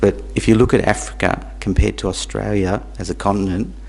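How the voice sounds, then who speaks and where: Low-voiced man giving a dharma talk. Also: fairly even intonation, creaky, darkish tone, a little hiss or hum, rough recording. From Tanzania. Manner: halting, repeats words, asks the audience questions. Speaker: Buddhist teacher. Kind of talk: dharma talk to a small group.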